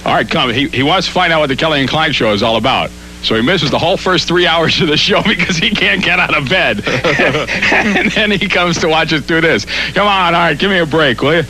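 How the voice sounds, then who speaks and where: A man's voice: a little high, brisk, bright, forceful tone. A man hosting a radio show in bantering studio chat, with a teasing manner. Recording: hum and noise in studio.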